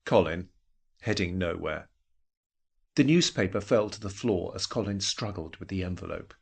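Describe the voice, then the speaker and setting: Husky, low-voiced man narrating a story. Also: normal speed. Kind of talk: scripted literary story narration.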